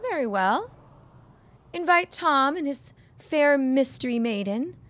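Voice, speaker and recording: A woman's voice: high-pitched, animated, takes it slowly. A woman playing a poised, guarded bride-to-be in a period audio drama, speaking formally with dry wit.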